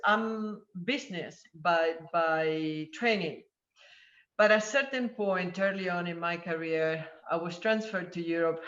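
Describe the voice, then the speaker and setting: A woman's voice: lowish voice, a bit bright. Woman, 50s, Argentinian Spanish accent, roomy echo, muffled sound. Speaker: panelist, corporate sustainability executive. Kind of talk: panel discussion answer. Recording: echoey, muffled.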